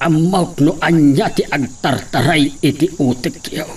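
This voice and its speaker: A man's voice: fairly high pitch, measured pace, darkish tone, slightly rough. A man as a wary poor father. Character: poor worried father, older farmhand.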